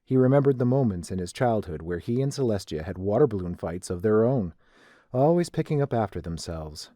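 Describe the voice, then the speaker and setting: A man's voice: lowish voice, expressive, brisk, warm tone, dry recording, clean studio sound. A man narrating a scripted story.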